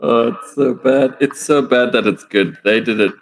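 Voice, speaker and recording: A man's voice: mid-range voice, normal speed, poor audio. A man chatting casually about video games as a podcast co-host.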